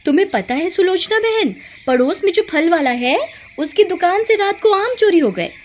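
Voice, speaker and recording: A woman's voice: very high voice, animated, moderate pace, slight background noise, pitched above the performer's own voice. A woman voicing a gossipy neighbour woman with a confiding manner in a cartoon story. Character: gossipy neighbour woman sharing scandalous news.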